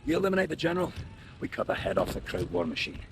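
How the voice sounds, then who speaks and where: An actor playing a commanding officer giving a forceful briefing in a video game. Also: male voice, on the high side, sing-song, very fast, background noise.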